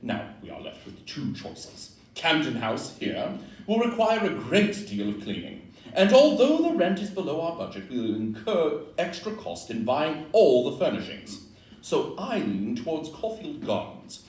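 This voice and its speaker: A man's voice: on the high side, wildly swooping pitch, measured pace, darkish tone. A man acting a keen, exacting detective on stage in an audio play, speaking in a precise, declamatory, analytical way. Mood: reasoning. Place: live indoor performance in a club room. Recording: roomy distant live recording, background noise.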